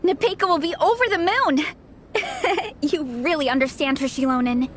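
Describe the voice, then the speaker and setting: A woman's voice: sits high, lively intonation, moderate pace, soft background music. A woman voicing an upbeat, encouraging young female adventurer in a video game, with a perky manner. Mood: cheerful, encouraging.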